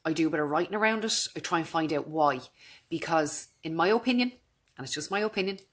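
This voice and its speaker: A woman in her thirties with a naturally low voice, talking earnestly and directly to her camera in a vlog. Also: slightly rough. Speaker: vlogger. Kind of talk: casual talk to camera.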